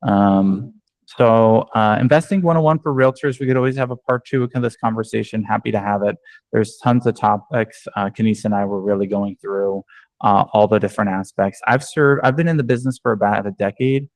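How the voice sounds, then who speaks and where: A man from New York talks through an online training on a video call, in a fairly low voice. His delivery is conversational, full of ums and restarts, and the sound is dry and close. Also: fairly quick, dry recording. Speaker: real estate agent and trainer.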